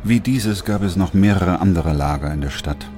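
Male actor as doctor narrator, very low voice, sober, measured, grave, music underneath, radio drama. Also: close to an everyday voice. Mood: grave, composed. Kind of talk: literary radio play narration.

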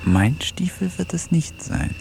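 An actor narrating a radio play as a poet, reading diary-like entries in a wry, introspective way. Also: male voice, on the high side, very animated, a little slow, creaky, heavily acted. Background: music.

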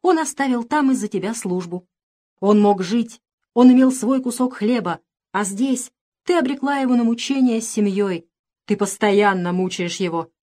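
A woman's voice: medium pitch, animated, normal speed, hardly any pauses, close to an everyday voice. Hot-tempered nobleman son, anguished, impassioned and accusing, for audiobook drama, performed by a woman. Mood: heated reproach.

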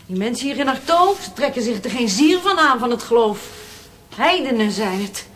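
An actress playing a practical, complaining housekeeper to a village priest, in a fussy manner, in a radio drama. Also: female voice, on the high side, sing-song, normal speed, fairly bright tone, slight background noise. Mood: grumbling.

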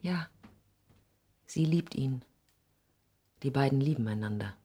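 A proud, wounded mother in an old radio drama. Her voice is low and husky, swinging widely in pitch, with a dignified, theatrical delivery. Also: lively intonation, slow, warm tone, exaggerated voice. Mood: icy, defiant. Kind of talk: 1960s German radio play acting.

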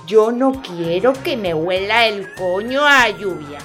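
A woman playing an earthy, excitable friend in comic audio drama banter, colloquial and exclamatory. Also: mid-range voice, slow, soft background music. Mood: scornful.

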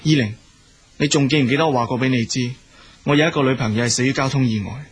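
An actor playing an earnest, forgiving young boyfriend in a radio drama, speaking Hong Kong Cantonese with emotional delivery. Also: male voice, medium pitch, quick pace, slight background noise, lightly acted. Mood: calm, forgiving.

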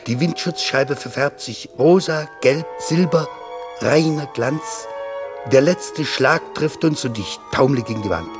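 A man's voice: mid-range voice, unhurried, over music. A man giving a dramatic reading of literary prose as a narrator. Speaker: reader of literary texts.

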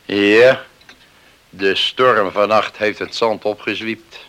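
Stubborn rural neighbour, low and forceful, curt radio-play delivery, unbending pride. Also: male voice, fairly even intonation, slow, slight background noise. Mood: gruff, stubborn. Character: stubborn, proud male farmer, curt and unyielding neighbour. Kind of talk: Dutch radio drama acting.

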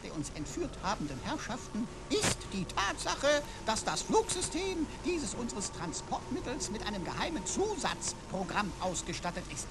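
An actor playing a smug, wisecracking computer companion who talks in pompous, florid, mock-learned phrases in a radio play. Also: male voice, sits high, sing-song, normal speed, hardly any pauses, noisy background. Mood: lecturing, pompous. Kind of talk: German radio play acting.

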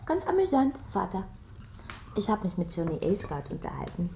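An actress playing a lightly defiant young daughter in a radio drama, casual and understated. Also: female voice, medium pitch, big pitch swings, brisk, creaky, mellow, a little hiss or hum. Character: young society daughter, lightly defiant toward her father.

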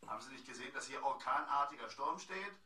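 Bossy studio director, echoey and distant. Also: male voice, mid-range voice, lively intonation, fast, pushed and bright. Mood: irritated. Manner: barking, impatient. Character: bossy, irritable male studio director giving orders. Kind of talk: comic German radio play acting. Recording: echoey, distant from mic, noisy with clicks.

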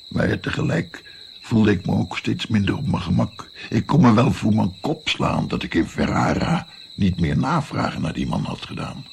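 An actor playing an old grandfather in a radio play, reflectively recounting his past travels in a gravelly voice. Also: extremely low pitch, slight background noise, heavily acted. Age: older. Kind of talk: radio drama storytelling.

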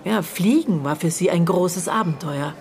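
A woman acts out a guarded middle-aged aunt in a radio drama. Her voice sits slightly low, and she answers curtly or gropes hesitantly through memories, prickly when pressed. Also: moderate pace, darkish tone, lightly acted. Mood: guarded, recollecting. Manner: hesitant recollection, curt retorts. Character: prickly, guarded aunt of a dead girl, witness.

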